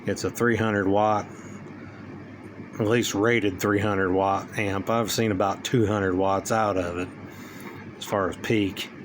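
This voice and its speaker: A man's voice: fairly low pitch, little pitch movement, slow, pauses often, noisy background. A man giving a casual hands-on demonstration, talking in a plainspoken, folksy way with informal grammar. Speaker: hobbyist presenter demonstrating radio gear.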